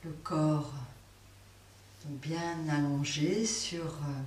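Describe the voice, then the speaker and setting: A woman teaching a guided yoga nidra relaxation, speaking softly with long pauses. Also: sits low, steady tone. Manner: slow, soft, pausing guidance. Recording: roomy, distant mic with faint hiss.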